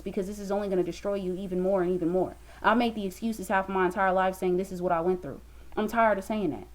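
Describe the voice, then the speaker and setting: A woman's voice: on the high side, a little mellow, low-quality recording. A woman in her twenties giving an emphatic, preachy motivational talk to a webcam in a small room, repeating lines for stress. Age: 20s. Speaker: motivational vlogger.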